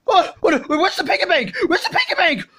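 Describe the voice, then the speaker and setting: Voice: sits high, expressive, moderate pace, fairly bright tone. A performer voicing a panicky, whiny servant who wails frantically and repeats himself in an amateur comedy skit. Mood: panicked. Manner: frantic, repetitive wailing. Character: panicky, whiny servant slave terrified of his king.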